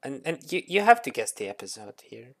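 A woman's voice: a bass voice, sing-song, a little fast, vocal fry. A woman hosting a casual online quiz game over voice chat, often stumbling and restarting her words. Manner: stammering restarts, chatty. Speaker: quiz host.

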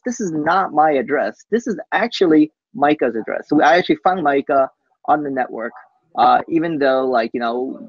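A man giving a casual live software demo on a team call, speaking haltingly and often tacking "basically" onto sentences. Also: medium pitch, no room sound. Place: coworking space, on a group video call. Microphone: video call microphone. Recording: muffled video call audio.

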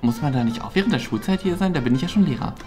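Woman cast as a male teacher, very deep, lively, greedy schemer, music under. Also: very fast. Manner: dreamy, muttering to himself, salesman patter. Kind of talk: sketch comedy acting. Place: bright office room indoors. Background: background music.

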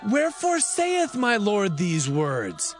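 A man's voice: high-pitched, wildly swooping pitch, slow, soft background music, heavily acted. A man acting a pleading, protesting Hebrew brother in a dramatized scripture reading. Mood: distressed.

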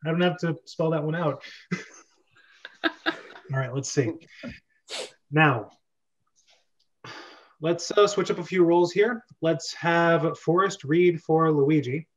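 A man's voice: on the high side, slow pace, pauses often. A young man casually directing a script reading as host and reading out stage directions on a group video call. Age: young adult. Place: small bedroom, on a video call. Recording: dull lo-fi video-call audio.

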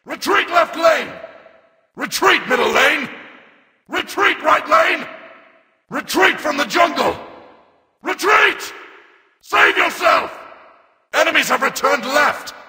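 Forceful, reverberant robot reaper warrior. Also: male voice, extremely high pitch, animated, takes it slowly, pauses often, some chatter behind, exaggerated voice. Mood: commanding, battle-fierce. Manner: forceful, barked short commands. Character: commanding robotic reaper warrior, grim and battle-hungry. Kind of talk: video game character callouts.

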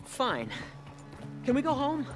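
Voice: high-pitched, huge pitch swings, slow, music in the background, exaggerated voice. A performer playing a timid young boy in a video game, hesitant and obedient, with short answers. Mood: uneasy. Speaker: game character. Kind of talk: video game cutscene acting.

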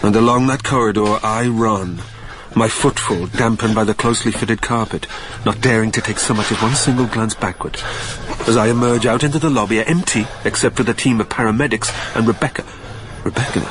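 A fairly low male voice, an actor in role as a weary, anxious man telling his own story. The dramatic narration is wry and literary. A little background ambience runs underneath. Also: a little fast, background noise, natural voice. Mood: uneasy, breathless narration. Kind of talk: dramatic first-person narration.

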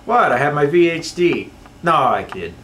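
An actor in an amateur film playing a male voodoo shaman with an American accent who talks deadpan and keeps making jokes. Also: a little high, normal speed, slight background noise. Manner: deadpan, keeps joking "I kid".

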